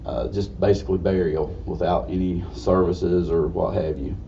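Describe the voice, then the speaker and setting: A man with a slightly raspy voice gives an informal history lecture in a folksy, halting way. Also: deep voice, steady tone, mellow. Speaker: guest lecturer. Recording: distant mic, dull muffled sound, faint noise.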